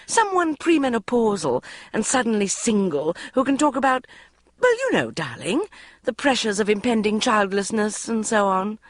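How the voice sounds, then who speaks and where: A gushing, theatrical mother with a high, swooping voice that coos and wheedles, put on by a woman reading a storybook. She pushes and pleads, her pitch climbing well above the narrator's, in a dry, close recording. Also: very animated, moderate pace, no room sound. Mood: cooing. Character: gushing pushy middle-aged mother, theatrical.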